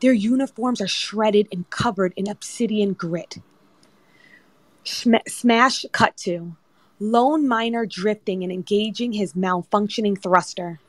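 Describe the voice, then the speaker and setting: A woman's voice: medium pitch, unhurried, no room sound. A woman narrator reading screenplay directions as scripted narration. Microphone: remote audio chat app.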